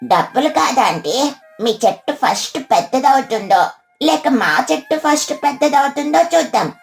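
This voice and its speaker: A woman's voice: high voice, lively intonation, normal speed, creaky, faint music behind. A woman voicing a friendly young boy parrot in a children's cartoon, childlike and earnest. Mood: playful challenge.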